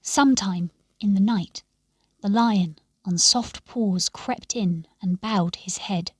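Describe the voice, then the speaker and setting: A woman narrates a story at a slow, deliberate pace. Her delivery is expressive and measured, often softening into a hush at the ends of phrases. The recording is close and dry. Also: medium pitch, breathy edge, no echo. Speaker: story reader.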